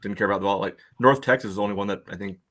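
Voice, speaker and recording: Quick talking guy, bright edgy voice, lots of you knows, low pitched. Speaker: podcast co-host. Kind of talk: casual chat.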